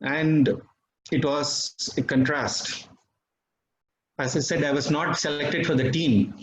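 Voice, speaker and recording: A middle-aged man from Bangalore with an Indian English accent and Tamil as his mother tongue, giving a reflective, measured personal testimony with pauses. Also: fairly high pitch, fairly even intonation. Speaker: devotee sharing experiences. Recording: dull, slightly roomy recording with faint noise.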